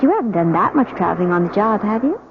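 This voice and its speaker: A woman's voice: mid-range voice, very animated, fast, noisy background. A woman playing an anxious, suspicious newlywed wife in a radio drama, speaking politely and hesitantly. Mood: guarded. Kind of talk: 1970s radio drama acting. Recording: tinny band-limited old radio, music behind.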